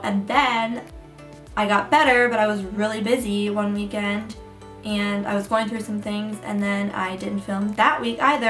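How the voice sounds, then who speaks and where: A young woman, a little hoarse, chatting her way through a hair tutorial with lots of ums and likes. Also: mid-range voice, a bit bright. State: hoarse, getting her voice back. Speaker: beauty vlogger. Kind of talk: casual hair tutorial chat. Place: room at home beside a window. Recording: echoey room, mic a bit far.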